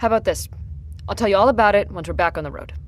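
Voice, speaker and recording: An actress playing a wry, cooperative doctor and survivor in an audio drama, speaking conversationally. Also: female voice, medium pitch, very fast, slight background noise, lightly acted.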